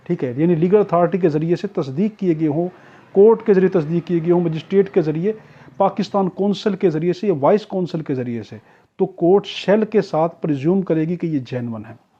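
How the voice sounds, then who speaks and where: Husky, higher-pitched Pakistani male tutor. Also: warm tone. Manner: didactic, repetitive, asks and answers own questions. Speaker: law tutor. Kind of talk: explanatory exam lecture mixing in English legal terms. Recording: dull, muffled lo-fi audio.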